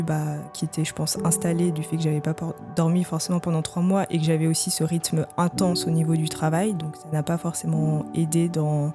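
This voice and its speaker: A woman in her thirties, a podcast guest telling her own story candidly, thinking aloud with frequent hesitant pauses. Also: lowish voice. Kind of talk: candid personal testimony.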